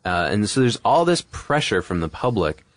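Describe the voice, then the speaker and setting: A man's voice: on the low side, very animated, moderate pace, no room sound. A man co-hosting a podcast, explaining things in a casual, conversational way with asides. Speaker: podcast co-host.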